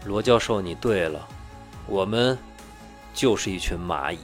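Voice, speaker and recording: A man's voice: low-pitched, lively intonation, a little slow, soft background music. A man playing a despairing, bitter male scientist in a radio drama, grave and resigned.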